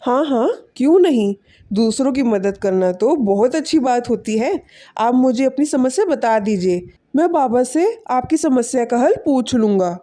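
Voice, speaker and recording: A woman voicing a poor, weary, kind-hearted village farmer and father in an animated moral story. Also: a little high, normal speed, lightly acted. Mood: reassuring.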